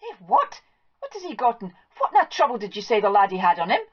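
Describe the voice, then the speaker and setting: A woman voicing a fussy, excitable old farm wife in a Scots accent, shrill and flustered as she scolds. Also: brisk. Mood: fretful scolding. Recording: dull lo-fi recording.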